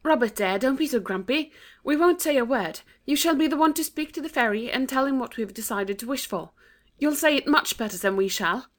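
A woman voicing a polite, soothing, kindly English girl with a British accent and a gentle, placating manner. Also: high-pitched, lively intonation, brisk, voice pushed up.

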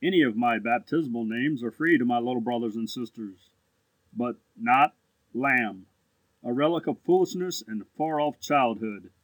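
A man's voice: medium pitch, normal speed, no room sound, natural voice, poor audio. A man playing a languid, condescending grown-up older brother in a storybook, with pompous courtesy. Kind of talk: storybook dialogue acting.

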